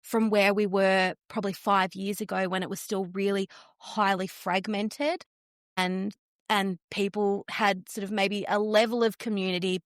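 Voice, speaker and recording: A woman's voice: mid-range voice, no room sound, studio quality. A woman with an Australian accent, speaking as a podcast guest in an earnest, explanatory, conversational way. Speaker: podcast guest, flower industry entrepreneur. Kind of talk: conversational interview.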